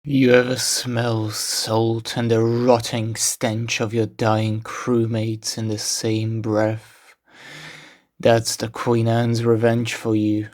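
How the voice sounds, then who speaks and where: A man playing a world-weary, guarded lieutenant at sea after the apocalypse, telling his story in a brooding, deliberate way. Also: medium pitch, completely flat delivery, darkish tone, close to an everyday voice. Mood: grim. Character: world-weary, guarded male post-apocalyptic seafaring lieutenant, haunted survivor. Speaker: narrating game character. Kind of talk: scripted narration.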